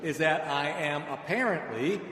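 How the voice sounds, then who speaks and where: A man's voice: fairly high pitch, animated, unhurried, pushed and bright. A middle-aged American man preaching in a conversational, dryly humorous way, telling personal anecdotes. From suburbs of Chicago, United States. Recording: echoey hall, long reverb, background noise.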